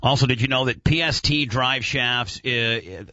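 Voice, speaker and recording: A man's voice: medium pitch, measured pace, pushed and bright, dry recording. A man hosting a casual radio show about auto racing, talking in a slangy, informal and opinionated way.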